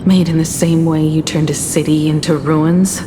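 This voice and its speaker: Woman acting a low-voiced, defiant captive heroine. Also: steady tone, normal speed, natural voice. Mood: cool, defiant. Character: cool, defiant woman facing down her captor. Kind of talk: dramatic video game acting. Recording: long reverb, rumbling noise.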